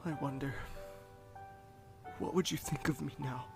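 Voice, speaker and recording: Man acting an anguished young prince, slow and gravelly. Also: medium pitch, steady tone, music in the background. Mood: brooding, sorrowful. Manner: brooding, anguished, halting delivery. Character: grieving young crown prince haunted by his sister's death. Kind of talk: dramatic audio drama monologue.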